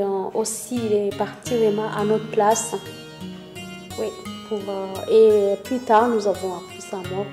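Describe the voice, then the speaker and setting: A woman in her fifties from Rwanda, giving her testimony in a halting way, pausing often to search for words. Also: medium pitch, mellow, roomy sound. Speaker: interviewee, witness. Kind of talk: spoken testimony.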